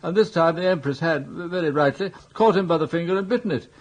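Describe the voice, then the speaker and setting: An actor playing a dithery, indignant elderly English earl with an upper-class accent in a radio comedy drama, vague and fussy, prone to babbling. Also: male voice, fairly high pitch, fast, close to an everyday voice. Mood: aggrieved, flustered.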